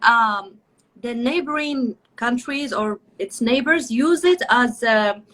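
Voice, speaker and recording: Bright, high-voiced gushing woman host. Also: takes it slowly, poor audio. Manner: gushing, effusive. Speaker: talk host. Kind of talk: casual live video chat.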